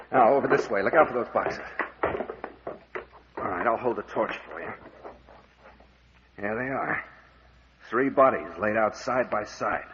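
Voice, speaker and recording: An actor playing a brisk, commanding, hard-boiled captain and investigator with a wry edge in an old-time radio mystery. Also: male voice, lowish voice, background noise, natural voice. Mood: grim, commanding. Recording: muffled old radio drama recording.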